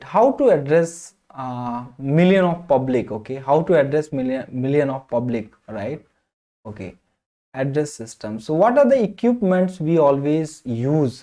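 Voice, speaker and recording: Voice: medium pitch, mellow. A lecturer giving a classroom talk, checking in constantly with "right?" and "okay?" and repeating phrases while explaining. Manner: ends nearly every phrase with a questioning "right?" or "okay?".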